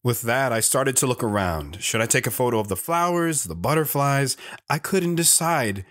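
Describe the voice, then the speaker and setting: Guy playing a wistful drifter telling his love story in an audio drama, mid-pitched, earnest, soft guitar under it. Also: lively intonation, normal speed, close to an everyday voice. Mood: wistful, reflective. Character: lone wandering man wistfully recounting his romance. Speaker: storytelling guest on a radio show. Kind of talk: dramatic first-person narration. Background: plucked guitar music.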